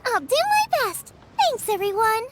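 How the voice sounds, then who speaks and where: A woman's voice: very high-pitched, big pitch swings, slow pace, heavy background noise, exaggerated voice. A woman voicing a cheerful, eager teenage girl chef in a video game, with a girlish voice. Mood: eager, determined. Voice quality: bright girlish voice. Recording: game audio with music behind.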